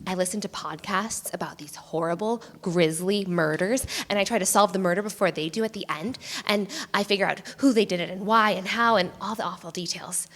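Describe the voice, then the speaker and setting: A woman's voice: mid-range voice, brisk, few pauses, lightly acted. An actress playing a chatty, brash young college woman in a live staged play reading. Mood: eager. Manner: eager, foul-mouthed, yells across the room. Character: chatty, brash college woman who loves murder podcasts. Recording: echoey theatre hall, live stage.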